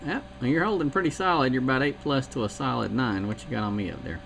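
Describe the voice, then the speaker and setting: A man's voice: medium pitch, quick pace, vocal fry. A man chatting on CB radio in a rambling way, with fillers and trailing thoughts. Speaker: CB radio operator. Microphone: CB radio mic. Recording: heavy radio static.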